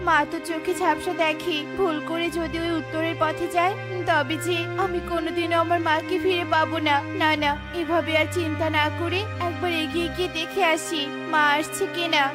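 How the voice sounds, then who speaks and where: A woman's voice: very high voice, level tone, moderate pace, loud music behind the voice. A woman playing an anxious young mother bird in a children's cartoon, pleading and worried.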